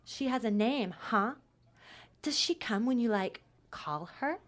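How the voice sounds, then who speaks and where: A woman's voice: on the high side, animated, unhurried. A woman playing a snooty, mean young pageant queen in an audio drama, sneering and peppering her talk with valley-girl likes. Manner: valley-girl filler "like", contemptuous.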